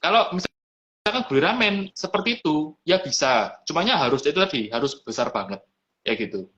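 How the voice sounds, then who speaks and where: A man talks through his business in a relaxed, chatty way, slipping English terms into his sentences. His voice is bright and forceful, and it is sitting a little high for a man. The sound is dull and lo-fi, like a live stream. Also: moderate pace. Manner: easygoing, mixes in English business words. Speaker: restaurant owner, guest. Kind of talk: casual interview chat.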